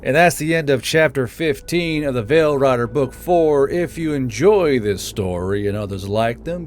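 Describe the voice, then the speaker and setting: A man's voice: medium pitch, darkish tone. A man narrating a fantasy audiobook as the channel's host, in an informal storytelling style. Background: music.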